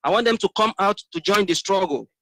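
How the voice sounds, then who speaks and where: A man's voice: high-pitched, expressive, fairly quick, a bit bright, no echo. A man with a Nigerian Igbo accent, exhorting listeners in repeated phrases as a caller on a political radio phone-in. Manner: exhorting, repetitive. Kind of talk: political appeal with blessings.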